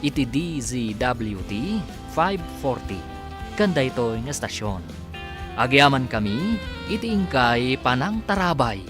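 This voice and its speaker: A man's voice: medium pitch, very animated, a little slow. A man reading scripted narration as the announcer of a radio drama. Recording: narration over loud background music. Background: music.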